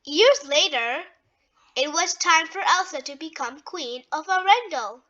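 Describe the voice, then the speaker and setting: A woman reading a children's story aloud in a deliberate, expressive way with emphatic phrasing. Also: very high-pitched, lo-fi sound.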